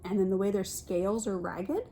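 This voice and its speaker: A woman reads a picture book aloud in the manner of a kindergarten teacher, at a middle pitch. She breaks off often to explain things and point them out in simple words for small children. There is some noise behind her voice in what sounds like a homemade recording. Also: expressive, normal speed, vocal fry, dark tone.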